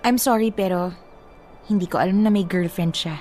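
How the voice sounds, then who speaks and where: A woman's voice: lowish voice, moderate pace, darkish tone, a little hiss or hum, close to an everyday voice. An actress playing a nervous young woman girlfriend in a radio drama, defensive and uneasy. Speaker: supporting character.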